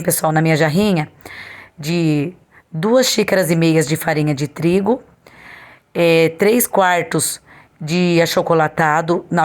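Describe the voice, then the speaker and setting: A Brazilian woman speaks in a hoarse, fairly low voice. She presents a home recipe step by step, in a chatty, instructional way, like a casual tutorial for online viewers. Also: a little mellow.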